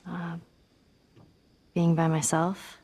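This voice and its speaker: A woman's voice: on the low side, completely flat delivery, extremely slow, a little mellow. A woman playing a plucky, tender teenage girl in a video game cutscene, talking in a natural, wry, conversational way. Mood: candid, reassuring.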